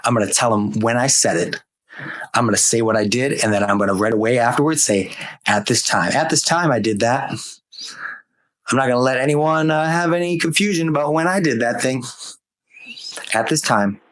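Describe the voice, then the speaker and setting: A man's voice: mid-range voice, moderate pace, long pauses, fairly bright tone, lightly acted. A man voicing a self-satisfied, long-winded firefighter giving over-detailed, repetitive radio reports in a comedy rant. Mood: smug.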